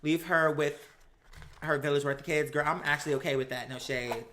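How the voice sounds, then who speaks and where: Bright-voiced, sassy gossip commentator, a woman. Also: low-pitched, a little fast, a little hiss or hum. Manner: sassy, animated, slangy and profane, acts out quotes mockingly. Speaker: host of a live commentary show. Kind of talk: casual gossip commentary on reality TV.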